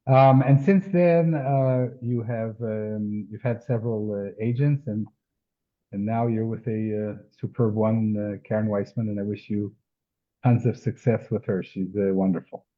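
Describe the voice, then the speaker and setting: A man hosting an interview, asking questions hesitantly with many fillers and pauses. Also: lowish voice, fairly even intonation. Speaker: interview host. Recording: tinny phone-like line.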